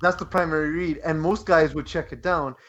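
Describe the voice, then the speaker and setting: Casting: male sports podcast co-host, fairly high voice, loose conversational delivery, dull lo-fi sound. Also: steady tone, fairly quick, a bit bright. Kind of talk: casual sports chat.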